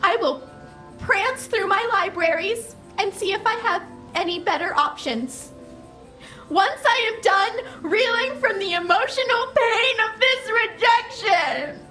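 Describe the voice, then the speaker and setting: A woman voicing a dreamy, literal-minded female AI computer, speaking slowly and flatly in a comedic audio drama. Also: very high voice, faint music behind, full character voice, low-quality recording. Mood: deadpan. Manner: slow, flat, oddly literal delivery.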